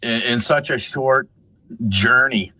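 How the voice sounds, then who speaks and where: A man's voice: mid-range voice, slow pace, sharp tone, no echo, rough recording. A man in his thirties speaking earnestly on a video call, tagging his points with "right?" and "you know". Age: 30s. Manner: earnest, repetitive, tags "right?". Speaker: podcast guest. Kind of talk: casual panel discussion.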